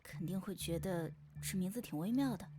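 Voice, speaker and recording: A woman's voice: fairly low pitch, expressive, a little fast, a crowd in the background, natural voice. A woman playing a composed young storyteller in a visual novel. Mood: calm storytelling. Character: composed young woman recounting her family's old legend. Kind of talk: visual novel character dialogue.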